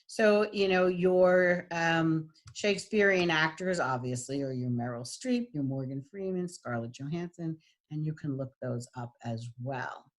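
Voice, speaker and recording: A middle-aged woman with a husky voice, giving a recorded lecture as a voice and speech teacher, with frequent fillers. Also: sits low, a little slow. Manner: demonstrates voice types, lots of fillers.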